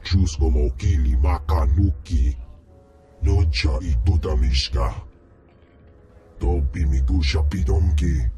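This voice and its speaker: An actor playing a bloated, domineering alien crime lord who rumbles in a made-up alien tongue in a game cutscene. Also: male voice, music in the background. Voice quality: deep rumbling alien speech. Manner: slow, menacing gibberish tongue. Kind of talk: video game cutscene acting.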